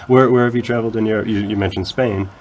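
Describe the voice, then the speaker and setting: A man's voice: on the low side, steady tone, quick pace, darkish tone, dull audio, a little hiss or hum. A man who hosts a campus radio talk show, explaining at length and often restarting his sentences. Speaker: faculty radio host.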